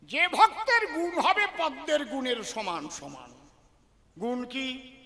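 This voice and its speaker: A man delivers devotional folk verse in a very high, bright voice. He moves slowly and theatrically through his rhymes, turning to listeners rhetorical questions. Long hall reverb trails his words. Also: expressive. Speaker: kobigaan folk poet-singer and religious storyteller. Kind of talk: devotional performance in rhymed verse, half spoken, half declaimed to an audience.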